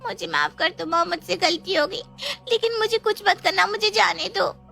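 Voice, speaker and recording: A woman's voice: very high-pitched, moderate pace, gravelly, sharp tone, soft background music, voice pushed up, heavily acted. A woman voicing a timid young daughter in a storybook drama, with a whiny, pleading manner. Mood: frightened pleading. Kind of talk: Hindi moral storybook drama.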